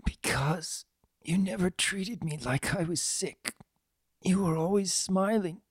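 A performer voicing a gentle, dying young male pegasus colt, breathless, weak and raspy, in a story reading. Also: mid-range voice, slow, no echo, studio quality, voice pushed up. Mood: tender, pleading.

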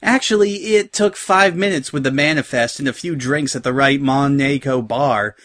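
A man's voice: mid-range voice, moderate pace, fairly bright tone, lightly acted. A man voicing a clever female unicorn adventurer in an audio story, wry, composed and articulate. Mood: smug.

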